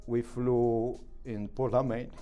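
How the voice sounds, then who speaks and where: A middle-aged man with a Romanian accent, speaking broken English as an interview guest, halting with many fillers. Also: medium pitch, little pitch movement, smooth, highs cut off, soft background music. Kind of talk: interview answers.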